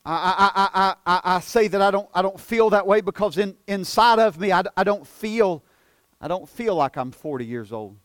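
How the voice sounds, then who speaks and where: Man in his forties, pastor preaching, high-pitched, dry close sound, earnest and halting. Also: no room sound. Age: 40s. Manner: earnest, repeats and stumbles on words, drops soft for emphasis. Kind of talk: sermon.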